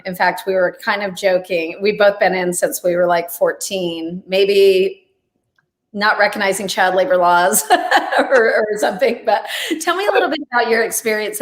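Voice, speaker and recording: A woman hosting an interview over a video call, chatty and lively, laughing easily. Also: medium pitch, reverberant. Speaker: host. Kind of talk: casual interview chat.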